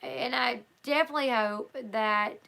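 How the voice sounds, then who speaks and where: A hoarse woman hosting a live stream, reading a book aloud and talking loosely with her chat. Her voice sits a little high and has a gravelly fry to it, and her tone is bright with an edge. She thinks out loud as she goes, with plenty of ums and restarts. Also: measured pace. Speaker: livestream host.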